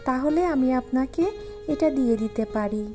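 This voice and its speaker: A woman's voice: sits high, moderate pace, warm tone, soft background music, lightly acted. A woman voicing a clever, determined village wife in a cartoon folk tale, earnest and coaxing in manner. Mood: coaxing, meek.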